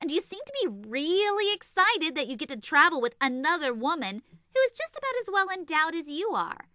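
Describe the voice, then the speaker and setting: Sharp, very high, close and dry: small teenage sorceress with a short fuse, sarcastic anime comedy teasing played by a woman. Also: very animated, a little fast, no echo, heavily acted. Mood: sly, teasing. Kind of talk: comedic anime fan audio drama acting.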